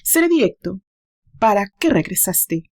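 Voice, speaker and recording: A woman's voice: mid-range voice, very animated, measured pace. Woman reading as a blunt, elegant rich suitor. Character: wealthy, elegant young businessman, blunt jealous boyfriend.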